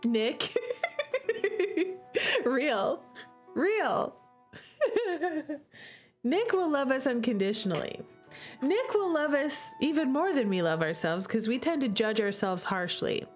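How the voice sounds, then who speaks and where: A woman streamer with a fairly high voice talks to her chat at a slow, unhurried pace. She is gushing and emphatic, often repeating a phrase for weight, with music playing behind her. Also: expressive. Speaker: streamer playing a visual novel. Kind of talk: livestream commentary, chatting with viewers.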